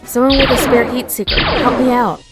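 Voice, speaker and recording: A woman's voice: a little high, level tone, normal speed, close to an everyday voice. An actress playing a tough young female fighter pilot who gives urgent, clipped radio calls in a fan audio drama. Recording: loud music under the voice.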